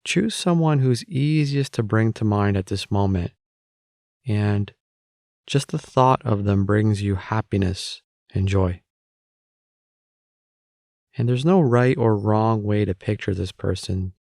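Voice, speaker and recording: A man guiding a meditation with calm, measured, gentle spoken instructions. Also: fairly low pitch, gravelly, no room sound, clean studio sound. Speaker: meditation guide.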